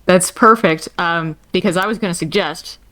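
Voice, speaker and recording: A woman's voice: fairly low pitch, normal speed, pushed and bright, rough recording. A woman chatting casually and eagerly as a guest player in a game. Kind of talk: casual chat during a game.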